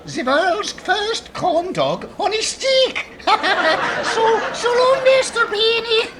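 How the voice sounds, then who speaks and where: A man's voice: extremely high pitch, very animated, takes it slowly, background noise, exaggerated voice. A man playing a cheery, chuckling hot dog vendor with sing-song, quaint phrasing in an old-time radio comedy. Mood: jolly. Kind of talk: 1940s radio comedy acting. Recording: live audience, laughing crowd behind.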